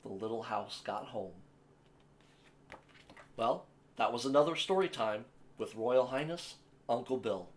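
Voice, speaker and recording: A man with a mid-pitched voice reads a children's picture book aloud. He works as a storyteller, shaping the sentences in an expressive, measured way. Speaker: storyteller, host of a story time series.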